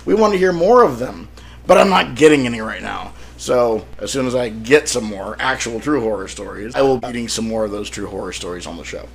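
A man hosting a solo podcast in a casual, wryly joking manner, his voice a little rough from being sick. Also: medium pitch, lively intonation, brisk. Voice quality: slightly rough, throat-clearing. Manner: wry, self-deprecating joking. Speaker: podcast host and author.

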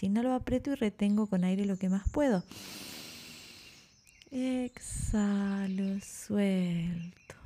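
A woman guides a relaxation meditation as a yoga instructor, soft and breathy at phrase ends, giving soothing, unhurried instructions with long pauses. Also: mid-range voice.

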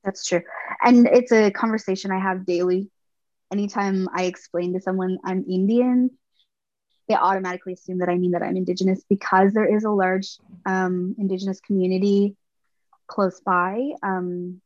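A woman in her thirties from Canada, speaking as a podcast guest in a thoughtful, halting way with frequent restarts. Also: mid-range voice, steady tone, a little mellow, no echo. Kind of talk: personal conversation. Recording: slightly muffled dry sound.

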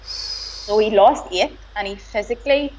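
A woman's voice: mid-range voice, unhurried, darkish tone, slight background noise. Woman with a broad Belfast accent. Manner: conversational, hesitant restarts. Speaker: guest, boxer's partner. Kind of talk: casual interview. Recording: slightly dull lo-fi sound.

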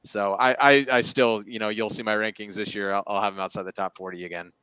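The guy on a fantasy football podcast weighing player rankings in a clean, lowish voice. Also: steady tone, fast, sharp tone, smooth, no echo, rough recording. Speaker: fantasy football analyst on a panel. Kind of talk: casual sports debate.

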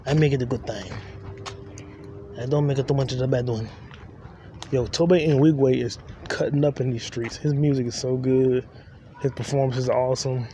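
A man's voice: mid-range voice, fairly even intonation, moderate pace, pauses often, dark tone. A young man hosting a podcast, riffing loosely in a rambling, joking solo monologue while walking outdoors. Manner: loose, joking, talks to himself. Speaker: podcast host. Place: outdoors on city streets. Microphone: phone recording while walking. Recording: street traffic noise behind.

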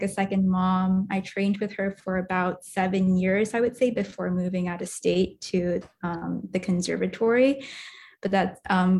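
A young woman chatting casually and cheerfully as a guest in an interview. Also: medium pitch. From grew up in Singapore and New York. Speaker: podcast guest, product designer and former ballerina.

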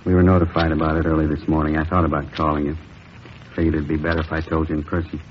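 An actor playing a somber, sympathetic middle-aged police captain with terse, halting lines in a 1950s radio drama. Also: male voice, flat delivery. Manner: terse, halting, low-key. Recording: muffled, noisy old radio recording.

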